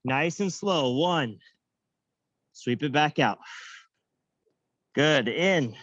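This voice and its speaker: A young man coaching a workout class, counting reps and giving upbeat cues with joking asides in a high-pitched voice. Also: expressive, drawn-out words, fairly bright tone, dry recording, muffled recording. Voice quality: self-described high-pitched voice. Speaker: fitness coach. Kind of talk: guiding an online workout class.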